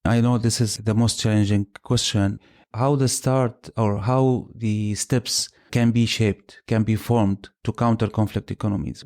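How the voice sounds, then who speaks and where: Low, flat-voiced formal male host. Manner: formal, courteous, deliberate questions. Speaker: podcast host. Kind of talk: interview hosting.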